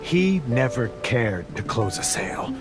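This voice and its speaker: An actor playing an indignant travelling merchant in a game, grumbling in a wordy, melodramatic way. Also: male voice, mid-range voice, big pitch swings, measured pace, over music. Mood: aggrieved, indignant. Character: indignant, long-suffering travelling merchant man, theatrically aggrieved. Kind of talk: theatrical game voice acting.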